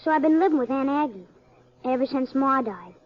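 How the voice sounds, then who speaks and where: Casting: woman as high-pitched American farm boy of eleven, earnest and wary, muffled old radio western. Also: lively intonation, fairly quick. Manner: plain-spoken, earnest country talk. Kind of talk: 1950s western radio drama acting.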